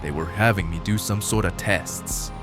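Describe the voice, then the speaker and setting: A man's voice: low voice, moderate pace, loud music behind the voice, close to an everyday voice. An actor playing a jaded male prisoner in an audio drama, blunt and dismissive, with a streetwise way of talking. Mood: explaining.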